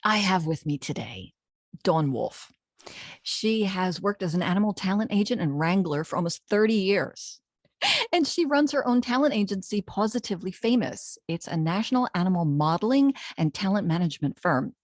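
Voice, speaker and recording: A woman's voice: medium pitch, animated, normal speed, no echo, clean studio sound. A middle-aged woman hosting a podcast, chatting casually and laughing easily. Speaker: podcast host. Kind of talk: casual interview chat. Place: indoor room. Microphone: studio mic.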